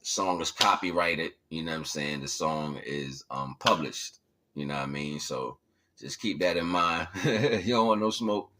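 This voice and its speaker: Casting: American male livestreamer, deep register, bright edgy tone, loose slangy chat. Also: normal speed. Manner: informal, slangy, repeats catchphrases. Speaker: livestream host and independent musician. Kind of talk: casual livestream chat to followers.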